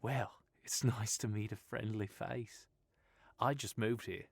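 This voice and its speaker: A woman's voice: a bass voice, fairly even intonation, slow pace, vocal fry, darkish tone, breathy edge, no room sound, exaggerated voice. A male narrator voicing a friendly, playful young woman with an Australian accent, in an audiobook. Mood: cheerful. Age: young adult.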